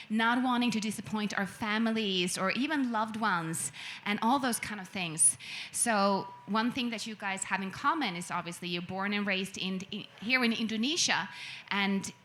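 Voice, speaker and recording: A woman's voice: mid-range voice, bright, forceful tone. A woman from Sweden co-hosting a panel discussion, speaking in a reflective way and rephrasing her questions as she goes. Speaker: panel co-host. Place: event venue with an audience.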